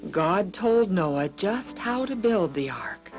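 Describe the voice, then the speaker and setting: A woman narrating scripted Bible stories for children with deliberate, measured phrasing. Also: fairly low pitch, very animated. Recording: loud music behind the voice.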